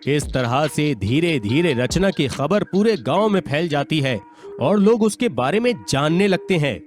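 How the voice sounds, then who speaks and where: A man narrating a scripted moral story with expressive storytelling delivery. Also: on the high side, a little slow. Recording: music behind.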